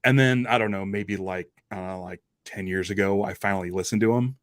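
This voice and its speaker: A man's voice: low voice, a little fast. A man chatting informally as a podcast panelist, talking in a loose, conversational way. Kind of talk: casual chat about a radio drama.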